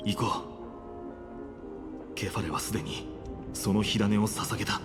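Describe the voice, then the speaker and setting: An actor playing an earnest, resolute young hero at war in a game, speaking with determination. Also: male voice, lowish voice, brisk, lightly acted. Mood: grave resolve. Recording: hall reverb, loud game music.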